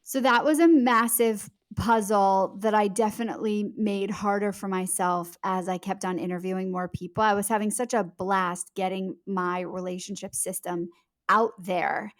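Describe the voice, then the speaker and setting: Female author, podcast guest. Mid-pitched, conversational, emphatic and explanatory, phrasing things deliberately. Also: steady tone. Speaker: author being interviewed.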